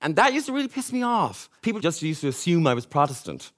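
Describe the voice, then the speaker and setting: A middle-aged man hosting a relaxed chat. He talks quickly with a lively, swooping pitch that sits a little high for a man. He laughs easily and keeps saying "you know.". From Ireland. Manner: chatty, laughs often, many "you know"s. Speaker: host. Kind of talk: casual panel chat.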